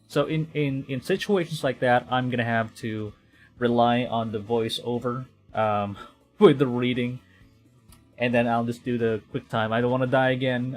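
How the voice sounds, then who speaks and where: A young man from the Philippines chatting casually while streaming a game, rambling and correcting himself as he goes. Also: mid-range voice. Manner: rambling, self-correcting, quietly talking to himself. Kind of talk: casual gameplay commentary.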